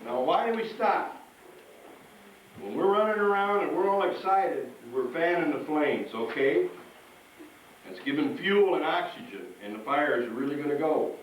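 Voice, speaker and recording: A man's voice: a little high, lively intonation, normal speed. A man presenting as a firefighter, teaching children step by step in a live demonstration and often checking they follow. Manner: instructive, step by step, checking with "okay?". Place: live show before children. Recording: noisy echoey room, distant mic.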